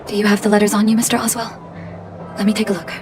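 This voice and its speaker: An actress playing an earnest, gentle and caring woman doctor in a video game. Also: mid-range voice, level tone, rapid-fire, close to an everyday voice. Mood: startled, questioning. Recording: music behind the voice. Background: music.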